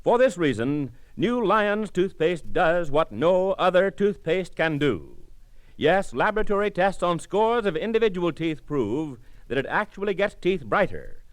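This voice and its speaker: A male commercial announcer giving an emphatic 1940s radio sales pitch. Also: big pitch swings, a little slow, no echo.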